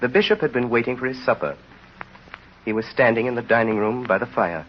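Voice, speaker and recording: A man narrating a 1930s radio drama in a grave, measured storytelling manner. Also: medium pitch, slight background noise, close to an everyday voice. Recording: muffled old radio recording.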